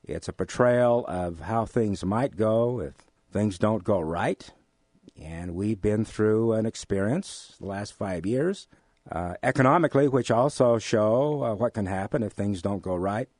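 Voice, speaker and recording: American man, lowish voice, dry close sound, professorial, emphatic, explanatory interview guest. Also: darkish tone, no echo. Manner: deliberate, professorial, emphatic repetition. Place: radio studio.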